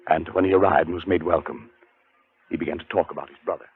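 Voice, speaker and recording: An actor playing a frightened scholar in a 1940s radio suspense drama, narrating his terror in a dramatic, deliberate way that grows halting with nerves. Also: sits low, steady tone, creaky, breathy edge, full character voice. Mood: sheepish, subdued. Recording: muffled 1940s radio broadcast with music.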